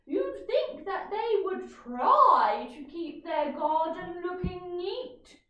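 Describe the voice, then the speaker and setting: Woman putting on a shrill, snobbish older lady. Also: animated, slow pace, a bit bright, highs cut off, heavily acted. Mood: indignant. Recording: echoey room, distant mic.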